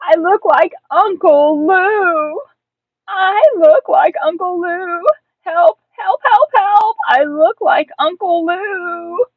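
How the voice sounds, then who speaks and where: A woman voicing an excitable, stubborn young first-grade girl in a storybook, exclaiming and repeating herself dramatically. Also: unhurried, full character voice. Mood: panicked, yelling. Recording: tinny, echoey, distant low-bandwidth recording.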